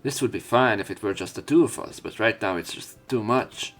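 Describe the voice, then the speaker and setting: A man's voice: fairly low pitch, brisk, a bit bright. Man narrating a game over music. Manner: sweary, irreverent asides. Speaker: let's play narrator. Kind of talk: reading visual novel text aloud with casual commentary.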